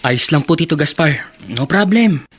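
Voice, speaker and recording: Man acting a cheeky, higher-voiced young student. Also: lively intonation, normal speed, slight background noise, close to an everyday voice. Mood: flippant, defensive. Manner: flippant. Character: breezy, cheeky young male student nephew. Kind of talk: Tagalog radio drama acting.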